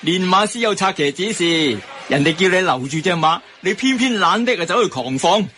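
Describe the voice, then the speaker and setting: A man playing a cynical, easygoing racing fan from a Hong Kong radio comedy, trading colloquial banter. The voice is slightly high and sharply bright, with faint noise behind it. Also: animated, normal speed. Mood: worldly. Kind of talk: Cantonese radio drama banter.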